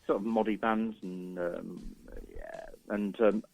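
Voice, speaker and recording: A man's voice: on the low side, steady tone, takes it slowly, gravelly, darkish tone, faint music behind, rough recording. A man in his forties chatting casually as an interview guest, informal and hesitant, rambling a little. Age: 40s. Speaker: radio guest, doctor and record label owner. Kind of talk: casual chat.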